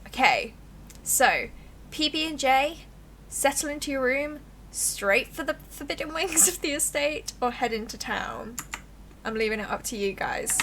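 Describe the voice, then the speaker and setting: A woman livestreamer giving casual, expressive, giggly game commentary and reading the game's text aloud. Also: high-pitched, measured pace, slight background noise. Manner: giggly, expressive, reacts out loud.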